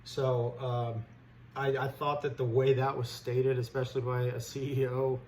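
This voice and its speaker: A man's voice: medium pitch, level tone, measured pace, darkish tone. Fired-up man ranting over background noise. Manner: blunt, fired up, indignant, informal. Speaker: stock trader host giving commentary. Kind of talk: unscripted opinionated rant.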